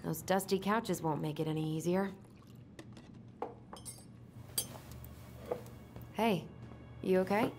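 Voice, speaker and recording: Young woman, played by an actress, lowish voice, wry, caring, anxious, natural game dialogue, music behind. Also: normal speed, darkish tone, close to an everyday voice. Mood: casual, caring. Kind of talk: naturalistic video game acting.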